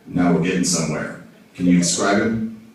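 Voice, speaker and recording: A man playing a wisecracking, gruff private detective puppet in a film-noir parody, slangy and wry. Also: low-pitched, normal speed, darkish tone, a little hiss or hum, close to an everyday voice. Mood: wry, skeptical. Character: wisecracking, down-on-his-luck hard-boiled male private detective puppet. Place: indoor hall, behind a puppet stage. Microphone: far camera in the audience. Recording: echoey room, distant audience camera.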